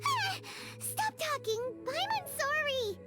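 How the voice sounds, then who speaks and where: An extremely high, squeaky fairy child speaks in a cutesy, very animated way. She sounds like a small, impatient game sidekick, with clear music behind her. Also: slow, exaggerated voice. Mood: whiny, exasperated. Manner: cutesy, speaks of herself in third person. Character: tiny childlike female fairy companion, chirpy, whiny, impatient. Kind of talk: scripted video game voice acting.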